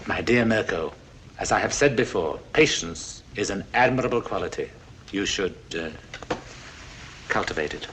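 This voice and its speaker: Suave, swooping-voiced villain, noisy film. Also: male voice, low-pitched, expressive, normal speed, pauses often, a bit bright. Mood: patient, condescending. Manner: smooth-talking, formal. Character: suave, silkily menacing villain feigning friendliness. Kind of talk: 1940s film acting. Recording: noisy 1940s film soundtrack.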